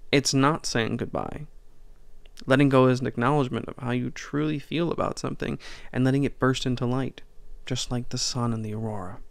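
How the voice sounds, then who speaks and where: Reflective man with a creaky, close voice. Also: mid-range voice, dry recording. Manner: measured, earnest, deliberate phrasing with pauses. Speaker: podcast host and audio drama writer. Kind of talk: reflective scripted podcast monologue.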